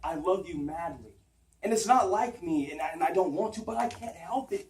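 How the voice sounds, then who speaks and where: Actor playing anguished high-voiced man confessing love. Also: expressive, a little mellow. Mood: helpless devotion. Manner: articulate, earnest delivery with dramatic pauses. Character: anguished man confessing forbidden love, torn, self-doubting rationalist. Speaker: acting student. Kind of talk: theatrical stage monologue. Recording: roomy, distant mic, faint background noise.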